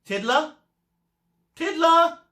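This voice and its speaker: A man's voice: very high-pitched, lively intonation, extremely slow, bright, forceful tone, reverberant, pitched above the performer's own voice, exaggerated voice. A man voicing a strict female schoolteacher fish in a children's storybook, calling out names in a sing-song way. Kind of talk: children's storybook read-aloud.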